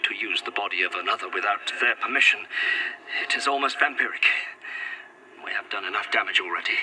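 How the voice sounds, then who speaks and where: An actor playing a devoted, courtly Victorian gentleman in a gothic audio drama, speaking in a formal, earnest, old-fashioned way. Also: fairly low pitch, fairly quick, slight background noise, rough recording. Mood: tender, earnest. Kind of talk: found-footage gothic audio drama acting.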